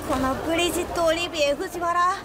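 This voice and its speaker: A woman's voice: very high-pitched, brisk. An actress playing an insecure, easily cowed teenage girl in a theatrical radio drama style. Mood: abject. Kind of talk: anime-style Japanese radio drama acting. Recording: loud traffic-like background noise.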